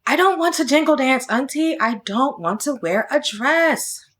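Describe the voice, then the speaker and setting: A woman's voice: high voice, lively intonation, unhurried, a bit bright, pitched above the performer's own voice. A woman voicing a shy, unhappy young child in a storybook, with a plaintive manner. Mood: rushed, upset.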